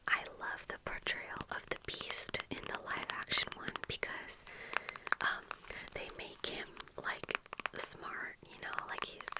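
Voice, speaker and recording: A young woman whispering in a casual ASMR get-ready-with-me chat, breathy and halting, close to the microphone. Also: rough recording. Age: young adult. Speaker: ASMR video creator. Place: small indoor room at home with bookshelves. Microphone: fluffy-covered microphone close in front, earphones on.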